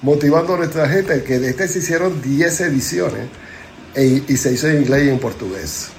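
A man's voice: on the high side, normal speed, slight background noise. A man in his seventies from Puerto Rico with a Puerto Rican accent, a motivational speaker telling his life story as a guest in a conversational interview. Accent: Puerto Rican Spanish. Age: 70s.

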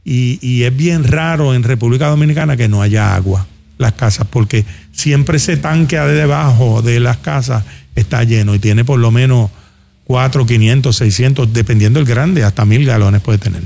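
A male talk show host with a Puerto Rican accent and a gravelly, creaky voice at a middling pitch. He talks in a colloquial, off-the-cuff way, as if handing practical advice straight to the listeners. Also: normal speed, dark tone. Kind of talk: casual conversational commentary. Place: studio.

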